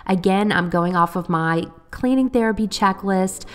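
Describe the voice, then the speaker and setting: A woman's voice: mid-range voice, normal speed, clear, resonant tone. A woman in her thirties narrating her cleaning vlog in a friendly, upbeat way while getting over a cold. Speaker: cleaning vlogger.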